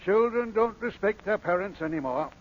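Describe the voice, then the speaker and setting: Sly old gentleman swindler, muffled radio. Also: sits high, expressive, moderate pace, background noise. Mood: grumbling, scheming. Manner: avuncular, mock-reluctant, wily. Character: sly, courtly old cardsharp father. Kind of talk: 1940s radio acting.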